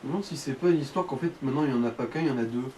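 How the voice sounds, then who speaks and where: A man's voice: medium pitch, very fast, a little mellow, poor audio. A man chatting casually as he guides a player through a game, explaining from uncertain memory. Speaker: co-commentator guiding the player. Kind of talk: casual gaming chat. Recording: faint background noise.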